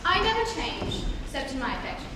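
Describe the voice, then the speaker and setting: A woman's voice: sits high, very animated, quick pace. A young woman playing a romantic, affected society lady in a dramatic reading of a stage comedy. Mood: coy. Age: young adult. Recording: echoey hall, distant, very noisy.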